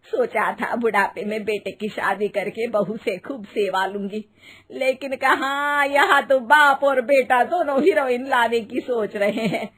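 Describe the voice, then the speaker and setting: A woman's voice: fairly high pitch, a little slow, a bit bright. A woman voicing a fussy old Indian mother-in-law in a cartoon story, exclamatory and nagging, with an Indian accent. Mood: disapproving, sarcastic. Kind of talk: animated Hindi cartoon story acting.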